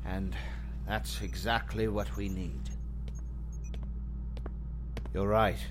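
Despondent male crewman, low voice, very slow delivery, heavy, sci-fi audio drama. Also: flat delivery, noisy background. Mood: subdued resolve. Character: despondent man mourning his lost ship.

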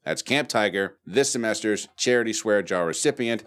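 A man's voice: fairly low pitch, animated, moderate pace, fairly bright tone. A young adult man hosting a podcast in casual chat, laid-back and swearing freely. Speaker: podcast host.

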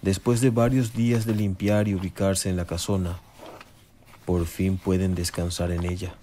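A man's voice: low-pitched, little pitch movement, moderate pace, mellow, a little hiss or hum. A man giving solemn scripted narration for a radio drama. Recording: music behind.

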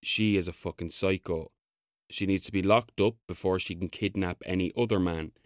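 Actor playing male Garda officer, Irish accent, needling, blunt, lowish pitch, audio drama, close dry recording. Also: fairly even intonation, normal speed, dry recording, lightly acted. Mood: deliberate reading aloud.

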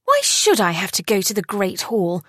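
A woman's voice: medium pitch, wildly swooping pitch, normal speed, a bit bright, dry recording, clean studio sound. A woman voicing a sulky young princess in an audiobook, petulant and glum.

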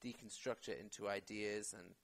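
A man's voice: on the low side, steady tone, moderate pace, gravelly. Chatty man with lots of restarts. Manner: talkative, many fillers and restarts. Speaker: actor, podcast guest. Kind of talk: casual interview chat.

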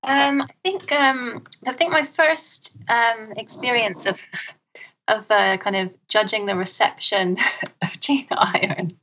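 A woman who translates books, answering modestly and hesitantly, with many pauses and nervous laughs. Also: fairly high pitch, fairly even intonation, pushed and bright, a little hiss or hum, poor audio. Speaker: literary translator. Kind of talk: conversational interview answers.

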